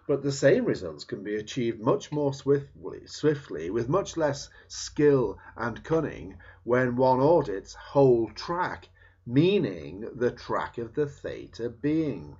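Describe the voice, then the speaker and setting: Man, scripted book narration, slightly rough husky tone, lively swooping pitch, deliberate phrasing, muffled recording. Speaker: reader of a book chapter. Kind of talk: scripted read-aloud narration, audiobook style.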